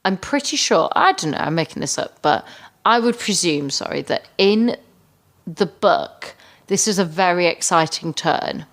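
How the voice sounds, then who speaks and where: A woman's voice: lowish voice, animated, measured pace, a bit bright. A woman co-hosting a podcast, chatting casually about a film, thinking aloud with hedges and emphatic repetition. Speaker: podcast co-host. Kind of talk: casual film review chat.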